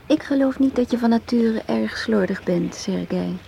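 A woman's voice: on the high side, normal speed, vocal fry, mellow. An actress playing a cool, self-assured, seductive female agent in a radio play, knowing and challenging in her talk. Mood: coolly playful. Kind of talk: radio drama acting. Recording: hissy old radio play recording.

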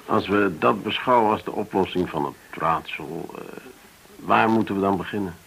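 A man's voice: low voice, normal speed, vocal fry, a bit bright, poor audio. An actor in a radio play playing a reserved older Englishman, guarded and questioning in his speech. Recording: hissy old radio recording.

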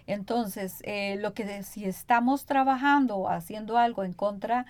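A woman's voice: medium pitch, moderate pace, no room sound. A woman with a Costa Rican accent hosting a radio interview in a conversational way. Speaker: radio program host.

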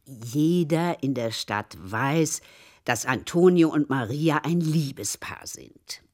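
Excitable, nosy housekeeper, swooping voice. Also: female voice, low voice, takes it slowly, heavily acted. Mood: gossipy. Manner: urgent, gossipy, teasing questions. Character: excitable, nosy, pious housekeeper of a learned man. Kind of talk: German radio crime play acting.

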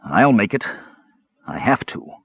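A man voicing a gruff, wounded male warrior who speaks in terse growls. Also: fairly low pitch, sing-song, a little slow, no echo, heavily acted. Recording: dull lo-fi dry recording.

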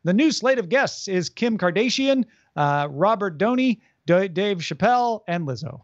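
A man's voice: high-pitched, expressive, moderate pace, dry recording. Man reading entertainment news. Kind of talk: casual chat, reading news notes. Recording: dull lo-fi dry sound.

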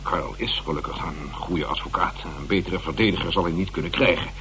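A man's voice: low voice, brisk, sharp tone. A distraught theatre director. He hesitates, repeats himself and dramatizes his reluctance. It is an old radio play with faint hum and hiss. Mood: distraught, pleading. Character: distraught, self-important theatre company director feigning reluctance.

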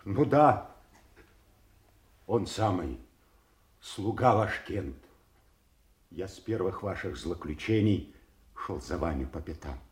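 A man's voice: low voice, expressive, slow, pauses often, echoey room. An actor playing a loyal, sorrowful nobleman in a king's service, in a solemn, declamatory classical stage manner. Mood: earnest devotion. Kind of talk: classical theatrical audio drama acting.

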